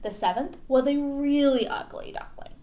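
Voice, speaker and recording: A woman's voice: sits high, moderate pace, warm tone. A woman presenting storytime, reading aloud expressively and explaining in a casual way.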